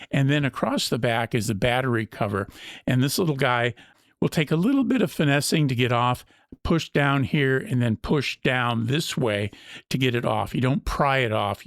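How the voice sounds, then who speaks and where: A man's voice: mid-range voice, no room sound, clean studio sound. A man presenting an unscripted product walkthrough as a review host, explaining things methodically step by step.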